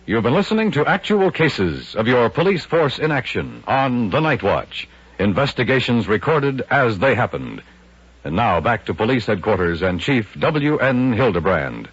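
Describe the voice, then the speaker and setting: A man's voice: mid-range voice, big pitch swings, moderate pace, husky. An American man announcing a 1950s radio show from a script in an emphatic style, on a muffled old broadcast.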